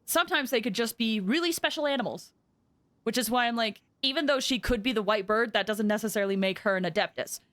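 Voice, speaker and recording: Female game streamer, bright and lively, slightly high, casual and chatty, dry close mic, soft music behind. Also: fast talker, dry recording. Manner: chatty, excitable, swoops into squeals. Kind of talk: casual live stream commentary.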